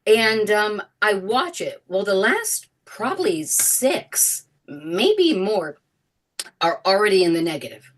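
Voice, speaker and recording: Raspy, sick-sounding woman. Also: mid-range voice, big pitch swings, takes it slowly. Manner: chatty, self-deprecating. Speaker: guest participant. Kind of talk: casual conversation.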